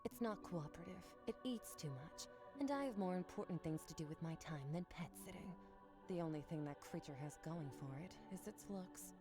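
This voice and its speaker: Game role, female actor: aloof warrior woman, lowish register, formal, blunt delivery, music underneath. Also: fairly quick, natural voice. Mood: disdainful, blunt. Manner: cool, formal, matter-of-fact. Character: aloof, haughty otherworldly swordswoman, blunt and unimpressed. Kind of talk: video game character acting. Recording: game audio with background music.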